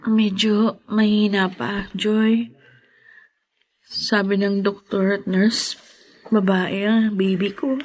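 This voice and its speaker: A woman's voice: medium pitch, flat delivery, takes it slowly, pauses often, darkish tone. A woman acting in a radio drama as a weak, weary new mother, speaking in a faint, subdued way.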